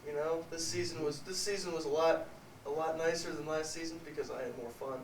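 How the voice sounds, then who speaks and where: A man's voice: on the high side, steady tone, a little fast, far from the mic, slight background noise. A young man, a college football player, answering sincerely and a little hesitantly with repeated starts. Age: young adult. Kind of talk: reflective interview answers. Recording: echoey room, off-mic.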